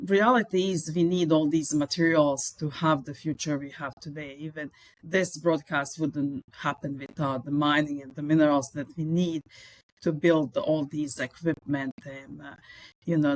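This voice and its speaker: Middle-aged serbian woman, deep voice, dry close sound, earnest chatty guest, english not her first language. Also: steady tone, normal speed, dry recording, rough recording. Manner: earnest, enthusiastic, with non-native phrasing. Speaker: mining executive guest. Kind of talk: podcast interview.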